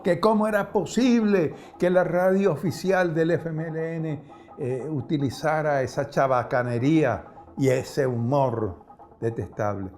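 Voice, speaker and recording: An older man recalling past events in an interview, haltingly searching for words. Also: a little high, darkish tone. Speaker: interviewee, former guerrilla radio member. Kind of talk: spoken recollection in interview.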